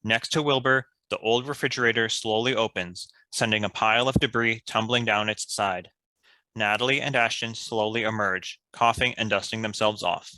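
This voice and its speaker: A man's voice: lowish voice, fairly even intonation, normal speed, pushed and bright, dry recording. A man reading stage directions as scripted narration for a screenplay.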